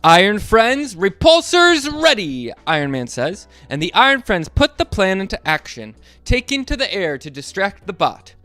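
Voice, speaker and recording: A man reads a picture book aloud to kids, playful and animated, stopping to get them to join in. His voice is fairly high for a man and swings up and down a lot. The sound is dry and close, with faint music behind him. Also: normal speed, hardly any pauses, dry recording. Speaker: storyteller reading aloud to kids. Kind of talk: children's read-aloud.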